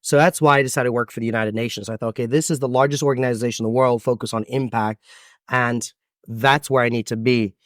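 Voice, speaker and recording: A man's voice: mid-range voice, no echo. Male guest, thirties, American accent, expansive storyteller, close dry mic. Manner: expansive, animated storytelling with dramatic pauses. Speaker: podcast guest, event MC. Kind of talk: storytelling interview answers.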